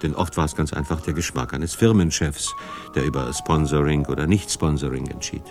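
A male narrator delivers a scripted radio feature. His voice is very deep, with music clearly playing behind him. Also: fairly even intonation, fairly quick. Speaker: documentary narrator.

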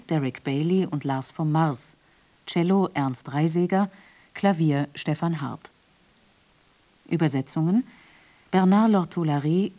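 A woman's voice: deep voice, dark tone. A woman reading credits as a radio announcer in a formal, scripted style.

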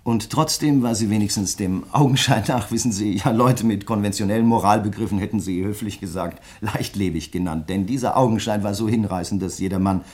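An actor playing a grieving crime writer in a German radio play, speaking in a measured, reflective way with polite insistence. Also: male voice, fairly low pitch, a little mellow, close to an everyday voice. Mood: wistful, reflective.

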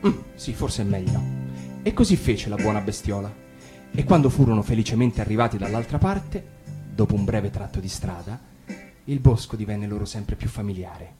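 A man narrating a fairy tale for radio, animated and bantering, sometimes stammering. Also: lowish voice, moderate pace, over music. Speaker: actor reading a fairy tale. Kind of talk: acted radio storytelling.

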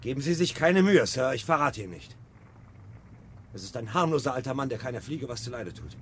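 A man's voice: medium pitch, lively intonation, fairly quick, a little hiss or hum, natural voice. An actor playing a defiant, desperate fireman turned rebel, urgent and intense, in a German radio play from 1970.

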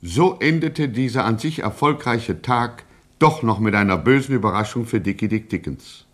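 An actor playing a dramatic, mock-solemn narrator of a German radio crime serial. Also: male voice, mid-range voice, expressive, moderate pace, a bit bright. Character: grandly suspenseful male radio serial narrator.

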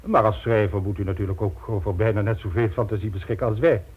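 An actor in a radio play voicing a suave, ruthless criminal mastermind, smug and polished, coolly condescending. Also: male voice, lowish voice, steady tone, quick pace, husky. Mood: smug, expansive. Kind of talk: Dutch radio play acting. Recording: dull lo-fi old radio recording.

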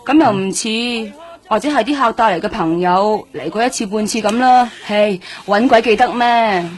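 Jaded streetwise hostess, played by an actress, higher-pitched. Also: female voice, moderate pace, natural voice. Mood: guarded, offhand. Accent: Cantonese. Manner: blunt, offhand, slangy. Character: jaded, streetwise nightlife hostess, guarded and flippant. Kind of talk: radio drama acting, colloquial dialogue.

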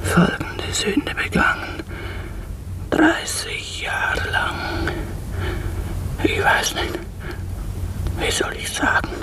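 An actress playing a pious, guilt-ridden old maidservant, halting and deferential, in a radio play. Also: female voice, whispered. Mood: anguished whispering. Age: older.

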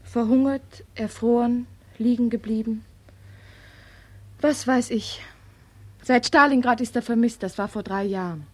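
A woman's voice: on the high side, measured pace, long pauses, darkish tone. A woman playing a lonely soldier's wife in a 1940s radio drama, theatrical, swinging between teasing and tender pleading. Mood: bitter. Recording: old 1940s radio studio recording.

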